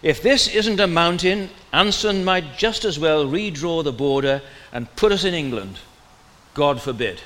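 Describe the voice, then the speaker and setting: A man's voice: fairly high pitch, expressive, unhurried, pushed and bright. A man with a Welsh accent voicing a proud, indignant Welsh villager, declaiming with mock grandeur.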